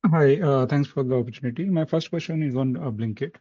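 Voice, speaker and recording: A man with an Indian accent puts questions as an analyst on an earnings call. His phrasing is halting and his tone dark and mellow. The line is dry and lo-fi. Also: mid-range voice, no echo. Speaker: financial analyst. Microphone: conference call line. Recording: dull lo-fi phone line.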